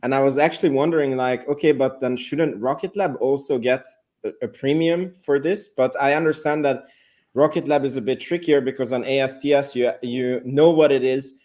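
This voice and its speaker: Male analyst guest, mid-pitched, conversational and unscripted, halting delivery with repeated words while reasoning. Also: fairly even intonation, darkish tone. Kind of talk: casual discussion.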